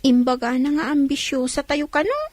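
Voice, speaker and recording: A woman's voice: high voice, steady tone, fairly quick, a little mellow, no room sound, lightly acted. An actress playing a dutiful young schoolgirl with a plaintive, childlike manner and an Ilocano accent in a radio drama.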